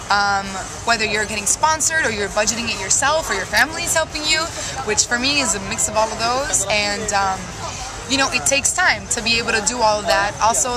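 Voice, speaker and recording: A young woman from Colombia who grew up in Miami, talking casually as a musician guest with many fillers and laughs. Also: a little high. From Colombia, raised in Miami. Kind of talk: casual interview. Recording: loud music and crowd noise. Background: loud music and crowd.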